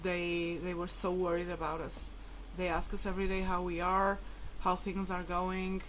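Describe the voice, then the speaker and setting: A woman's voice: lowish voice, completely flat delivery, moderate pace, a bit bright, slight background noise, rough recording. A woman whose mother tongue is Portuguese, talking casually and hesitantly to viewers in a rambling, informal vlog. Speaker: vlogger.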